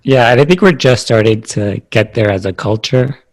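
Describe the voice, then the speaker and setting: A man's voice: medium pitch, normal speed. A man hosting a podcast, talking in a reflective, thinking-aloud way with many fillers. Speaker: podcast host. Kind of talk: casual interview chat.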